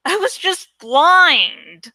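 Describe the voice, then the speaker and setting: A woman reading aloud as an amateur audiobook host, expressive and informal in her delivery. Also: extremely high pitch, drawn-out words, dry recording. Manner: informal, self-correcting. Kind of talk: expressive story narration with casual asides.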